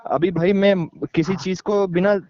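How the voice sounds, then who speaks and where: A man chatting casually as a caller on the phone, deferential and quick to agree. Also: sits high, fairly even intonation, darkish tone. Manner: agreeable, deferential, often murmuring assent. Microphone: phone call. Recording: dull lo-fi phone call recording.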